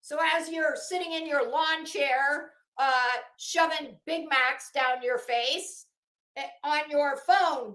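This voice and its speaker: Female vlogger, high voice in an echoey room. Sarcastic, foul-mouthed commentary on a dramatic reading, with halting, stretched-out emphasis. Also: fairly bright tone. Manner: sarcastic, ranting, profane. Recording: roomy, echoing room sound.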